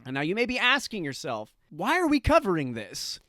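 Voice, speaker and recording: Male podcast host, bright high voice, close dry mic. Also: wildly swooping pitch, fairly quick, no room sound. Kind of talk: podcast talk introducing a segment.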